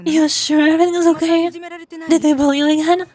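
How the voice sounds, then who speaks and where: A woman voicing a troubled young man's caring relatives in a game, gentle and concerned. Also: very high-pitched, little pitch movement, a little fast. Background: music.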